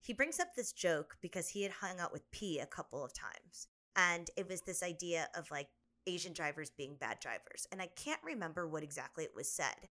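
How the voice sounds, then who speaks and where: Young woman cohost, lowish bright voice, earnest and reflective, casual podcast chat. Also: animated, normal speed. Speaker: podcast co-host.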